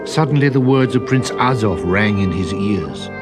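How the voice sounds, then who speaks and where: A man narrating a children's story as an expressive storyteller. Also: on the low side, moderate pace. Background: music.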